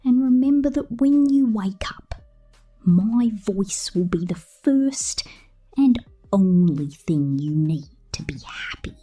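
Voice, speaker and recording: A woman playing a controlling, possessive technician in an ASMR roleplay, speaking softly and often in an intimate whisper. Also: mid-range voice, expressive, soft background music. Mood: hushed, soothing. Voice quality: soft, frequently whispered. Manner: slow, intimate, domineering. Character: possessive, controlling female technician doting menacingly on a robot. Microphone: close ASMR microphone.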